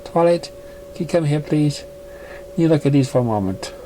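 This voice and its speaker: A man's voice: a little high, expressive, moderate pace, warm tone, slight background noise, close to an everyday voice, low-quality recording. A man voicing a professional, matter-of-fact female pony health examiner in a read-aloud story. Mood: brisk.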